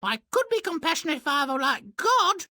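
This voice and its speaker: Shrill, imperious nagging wife. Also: male voice, very animated, fairly quick, pushed and bright, no echo, studio quality, exaggerated voice. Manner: demanding, pompous. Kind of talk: cartoonish game fairy-tale acting.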